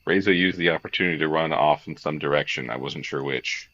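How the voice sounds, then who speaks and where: A man hosting a visual novel read-along, moving between narration and jokey, excitable, sweary commentary. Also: very deep voice, brisk, lo-fi sound. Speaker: streamer, host of a game read-along.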